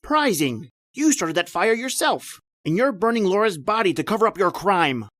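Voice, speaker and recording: A man's voice: high voice, very animated, moderate pace, a bit bright, no room sound, studio quality. A man playing a tense, accusing husband under suspicion in an old-time radio style horror drama, delivered in a confrontational way. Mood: alarmed, accusing. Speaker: actor in an audio drama.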